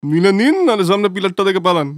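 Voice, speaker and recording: Brash cheeky young man, lively voice. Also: sits high, rapid-fire, no echo, clean studio sound. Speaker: cartoon voice actor. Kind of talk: Bengali cartoon dialogue.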